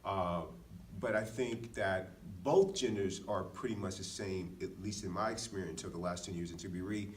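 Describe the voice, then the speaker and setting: A man's voice: low-pitched, moderate pace, a little hiss or hum. Candid American man, slightly distant mic. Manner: candid, self-deprecating, peppers talk with "man" and "dude". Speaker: podcast speaker, former Marine. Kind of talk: casual personal storytelling.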